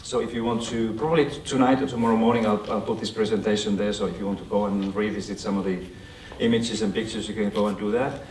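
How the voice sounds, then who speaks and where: A Finnish-accented man gives a conversational lecture in English and stops now and then to ask the room questions. His voice sits a little low. It was picked up from a distance in an echoey hall, with faint noise underneath. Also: steady tone, fast, few pauses, darkish tone. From Finland. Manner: conversational, asks the audience questions. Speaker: guest speaker. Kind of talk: lecture presentation to an audience.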